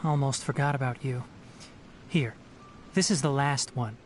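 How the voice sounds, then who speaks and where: A man's voice: mid-range voice, a little slow, heavy background noise, lightly acted. A man voicing a serious, protective young forest ranger in a video game, earnest, composed and analytical. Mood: thoughtful. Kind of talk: video game character acting.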